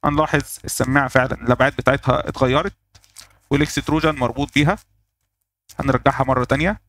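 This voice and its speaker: A man with an Egyptian Arabic accent walking through a software tutorial step by step, pausing on fillers as he works. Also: mid-range voice, steady tone. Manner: explains step by step, thinking aloud with fillers. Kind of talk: screen-recorded software tutorial.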